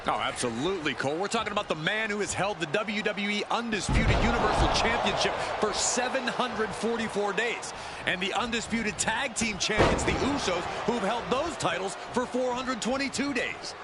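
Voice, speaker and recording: A man's voice: high-pitched, moderate pace. A man giving opinionated wrestling commentary as the colour commentator. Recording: very noisy game broadcast audio.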